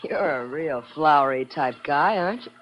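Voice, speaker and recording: A woman's voice: low voice, animated, moderate pace, lo-fi sound. An actress playing a wisecracking young American woman in a 1950s radio adventure, sassy and slangy. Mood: sardonic.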